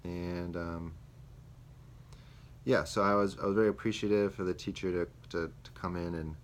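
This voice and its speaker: A young man, a student teacher, reflecting aloud on a lesson he taught, with many pauses and fillers. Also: low voice, steady tone. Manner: hesitant, many ums and restarts. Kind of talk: spoken self-reflection. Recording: faint background noise.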